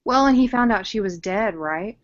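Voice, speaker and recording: A woman's voice: mid-range voice, expressive, moderate pace. A young woman co-hosting a casual chat about a TV drama, with a giggly, gushing, joking manner. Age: young adult. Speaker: podcast co-host. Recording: dull lo-fi audio.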